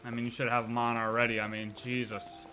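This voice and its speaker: A man's voice: mid-range voice, level tone, a little fast, noisy background. A man giving casual live reaction commentary as a game streamer, joking and echoing lines aloud. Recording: game music behind voice.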